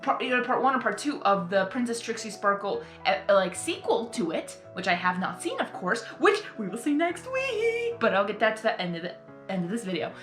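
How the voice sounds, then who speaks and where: A woman hosting a casual reaction video, excitable, apologetic and prone to rambling and repeating herself. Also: medium pitch, brisk. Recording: roomy echo, music behind.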